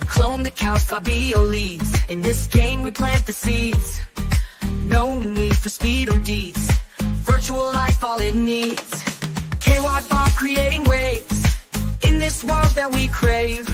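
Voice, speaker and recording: A woman singing a pop theme song for a show, with a melodic delivery. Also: high voice. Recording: singing over loud music.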